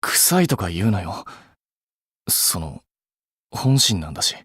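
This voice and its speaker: A man's voice: sits low, sing-song, takes it slowly, a bit bright, breathy edge, no room sound, studio quality, exaggerated voice. A man voicing an earnest, blunt but caring young idol in an anime game, speaking casually and a little awkwardly. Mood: bashful. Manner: casual clipped polite speech, flustered hesitations.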